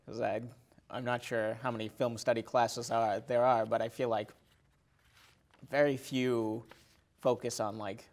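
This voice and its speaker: A man's voice: medium pitch, level tone, a little slow, long pauses, a little mellow. A man in a casual podcast conversation about film, hesitant and often correcting himself in a loose, conversational way. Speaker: podcast co-host or guest.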